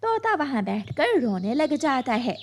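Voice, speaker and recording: A woman narrating a kids' cartoon story with a high, bright voice. Her delivery is steady and clear, and her pitch moves around expressively as she tells the tale. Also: unhurried, slight background noise.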